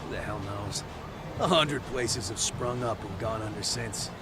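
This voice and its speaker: An actor playing a crude, belligerent gang thug in an English-dubbed crime game, gravelly, mocking and aggressive. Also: male voice, lowish voice, unhurried, background noise, lightly acted. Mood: dismissive. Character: crude, hot-headed male gang thug, bullying and belligerent.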